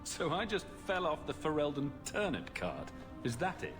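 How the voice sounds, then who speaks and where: A man's voice: fairly high pitch, huge pitch swings, moderate pace. A man acting as a skeptical, wry fantasy hero in a video game, with a dry, questioning delivery. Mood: wounded, sardonic. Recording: game dialogue in reverberant hall, music behind.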